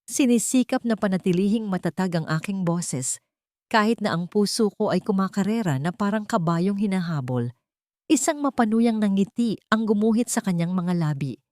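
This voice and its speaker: A woman's voice: mid-range voice, animated, moderate pace, a little mellow, no room sound, studio quality. A woman reading scripted first-person dramatic fiction as a story narrator.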